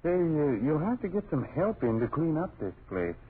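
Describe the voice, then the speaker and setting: A man's voice: a little high, sing-song, normal speed, slightly rough, exaggerated voice. An actor playing a breezy, slangy young husband and father who jokes his way through an old radio horror drama. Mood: joking. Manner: slangy, joking, casual. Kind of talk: 1940s radio horror acting. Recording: tinny, faintly noisy old radio recording.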